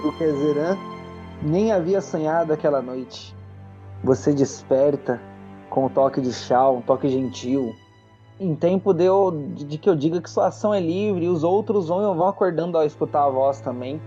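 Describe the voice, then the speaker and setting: Mellow Brazilian man narrating over music. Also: a little high, normal speed. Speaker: game master. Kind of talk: narrating a tabletop roleplay audio drama. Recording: muffled lo-fi audio under music. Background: background music.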